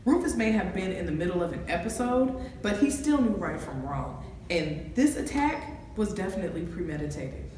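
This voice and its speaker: A woman's voice: on the low side, big pitch swings, moderate pace, a little mellow. Actress as female counselor, earnest, principled, amateur film drama, very echoey, some background hiss. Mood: measured, grave. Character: earnest woman college counselor, principled, indignant, testifying. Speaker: actor in an amateur student film. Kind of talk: amateur courtroom drama dialogue. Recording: echoey, distant, noisy room.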